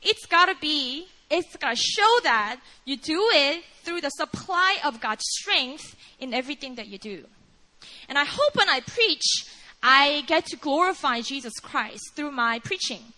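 Bright-voiced Korean woman preaching. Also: high-pitched, a little slow, poor audio. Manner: earnest, animated, frequent "like" and "you know". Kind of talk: sermon with personal story.

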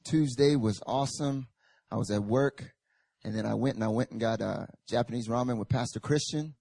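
A young male pastor with a hoarse, raspy voice from a recent chest infection, joking casually with the congregation and then reading Scripture and praying with rising fervor in a church service. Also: mid-range voice, normal speed, mellow, dry recording. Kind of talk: sermon.

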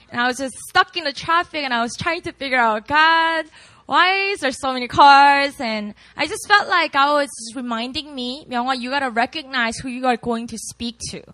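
A woman in her late twenties, a pastor with a Korean accent, chatting warmly and laughing at herself before reading scripture to a campus group. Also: sits high, moderate pace, no echo. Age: late 20s. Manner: chatty, self-deprecating, laughs often.

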